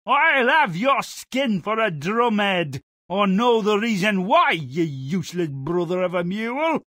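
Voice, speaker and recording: A menacing, angry villain in a cartoon game. His high voice is forceful and slow. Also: big pitch swings, no echo, studio quality, heavily acted. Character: menacing, angry man hunting a donkey for its skin. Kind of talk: exaggerated game villain acting.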